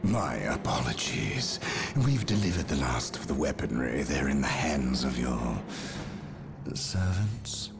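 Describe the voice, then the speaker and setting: A gravelly, very deep-voiced schemer, slow and disdainful, music under. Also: male voice, sing-song, heavily acted. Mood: polite. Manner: cold, measured, disdainful. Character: scheming human male traitor ally of orcs. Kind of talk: video game cutscene acting.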